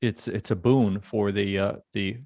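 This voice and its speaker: A man hosting a casual panel conversation, speaking in a halting, thoughtful way. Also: lowish voice, fairly even intonation, creaky, a little mellow, no echo, clean studio sound. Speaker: podcast host.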